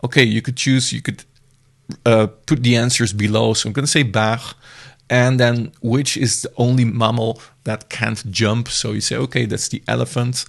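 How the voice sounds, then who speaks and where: Man with a gravelly voice, casual and meandering as he walks through software on screen, often restarting mid-sentence. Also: medium pitch, fairly even intonation, normal speed. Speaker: software reviewer. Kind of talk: screen-recorded software demo.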